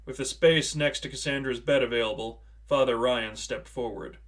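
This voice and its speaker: A man's voice: medium pitch, moderate pace, a bit bright. Man narrating a dramatic story. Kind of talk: scripted audiobook-style narration.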